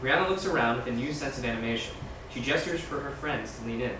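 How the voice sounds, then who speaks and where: A man's voice: medium pitch, fast talker. A man reading scene directions aloud as scripted narration at a screenplay table read. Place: large echoey room, far from mic. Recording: echoey, noisy room from far off.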